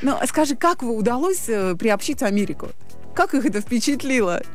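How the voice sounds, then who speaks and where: Female radio interviewer, fairly high and expressive, inquisitive and conversational, soft music in the background. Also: brisk. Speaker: radio co-host interviewing a guest.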